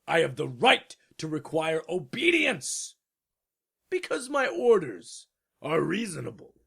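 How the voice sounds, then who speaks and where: Proud, self-important old king for a storybook tale: raspy, bright and forceful, slow and grand. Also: on the high side, wildly swooping pitch, full character voice. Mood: imperious, indignant. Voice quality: gravelly, raspy. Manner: pompous, imperious, theatrical delivery. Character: proud, pompous old king of a tiny planet. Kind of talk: theatrical storybook dramatization.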